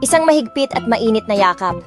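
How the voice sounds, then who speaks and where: Young female audiobook narrator, expressive, mixes two languages, slightly high voice, soft music bed. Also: a little fast. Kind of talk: first-person romance audiobook narration.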